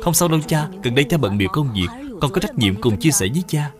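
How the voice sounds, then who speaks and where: A performer voicing a polite, dutiful little boy in an animated moral tale, speaking respectfully and earnestly. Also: mid-range voice, fast, over music, close to an everyday voice. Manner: respectful, earnest, carefully explaining.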